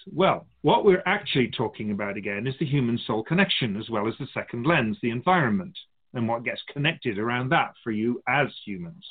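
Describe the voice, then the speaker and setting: A man's voice: medium pitch, slightly rough, phone-quality sound. A man playing an otherworldly keeper of souls in a radio drama, a wise, teasing mentor who muses theatrically in rhetorical questions. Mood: musing, teasing. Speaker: spirit guide in a radio drama.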